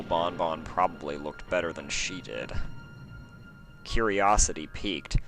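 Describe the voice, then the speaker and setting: A man reading a horror story aloud as an amateur narrator, in a measured, suspenseful storytelling style. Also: rumbling low, a bit bright. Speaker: amateur fan fiction narrator and channel host. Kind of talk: dramatic horror audiobook narration, then casual sign-off. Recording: dry close recording with faint music underneath.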